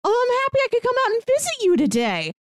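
A woman's voice: extremely high pitch, wildly swooping pitch, quick pace, no echo. A woman co-hosting a podcast, chatting casually and retelling a story in an informal way with frequent asides. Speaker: podcast co-host. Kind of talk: casual book discussion chat.

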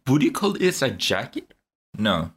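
A young man co-hosting a casual podcast, talking in a measured, thoughtful way with hesitant fillers. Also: medium pitch, huge pitch swings, a bit bright, breathy edge. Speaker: podcast co-host.

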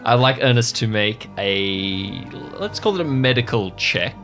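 A man narrating a tabletop role-playing game as game master, in a measured, descriptive way. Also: medium pitch, lively intonation, fairly bright tone, faint music behind.

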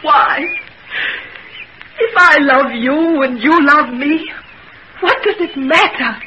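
Distraught young sweetheart, old muffled radio. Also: female voice, high-pitched, takes it slowly. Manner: melodramatic, emotional. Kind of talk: 1940s radio acting. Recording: muffled hissy 1940s radio recording.

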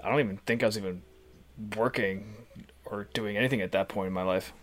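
A man's voice: on the low side, a little fast. A man hosting a casual interview, friendly and asking follow-up questions. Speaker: podcast host.

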